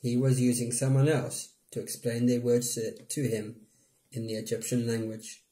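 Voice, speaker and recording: A man with a mid-pitched voice reads scripted story narration. The sound is roomy and echoey, as in a room at home. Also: flat delivery, moderate pace, warm tone. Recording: roomy indoor echo.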